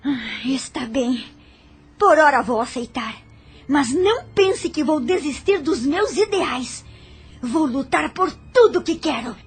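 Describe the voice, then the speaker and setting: A woman's voice: high voice, animated, takes it slowly, exaggerated voice. A Brazilian woman playing an indignant young niece in an audio novel, with a theatrical, protesting delivery. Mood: resentful, sulking. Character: indignant young niece resisting an arranged marriage.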